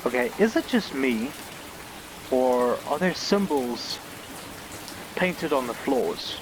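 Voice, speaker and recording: A man's voice: on the high side, very animated, slow, gravelly, background noise. A man giving casual playthrough commentary on a game, musing aloud informally with mild exclamations. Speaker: game reviewer playing on camera.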